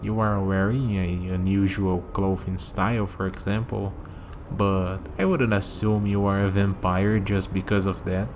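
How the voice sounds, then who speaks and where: A man playing a curious, skeptical young human in an ASMR vampire roleplay, talking hesitantly to the listener and pausing for replies, with steady noise behind him. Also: sits low. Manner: hesitant, conversational, leaves pauses for unheard replies.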